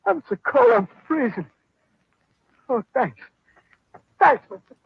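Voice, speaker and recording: A pitiful shivering beggar from an old radio play. His voice is very high, thin and heavily rasping, on a tinny vintage recording. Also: big pitch swings, slow, dry recording, full character voice. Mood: pleading. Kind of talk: 1930s radio drama acting.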